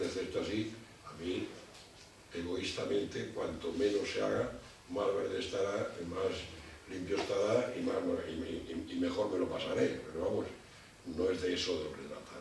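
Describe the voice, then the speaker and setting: A man giving a public lecture. He talks in a halting way and often repeats words while he gathers his thoughts. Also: low-pitched, creaky, darkish tone. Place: lecture hall. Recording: distant mic in an echoey hall with background noise.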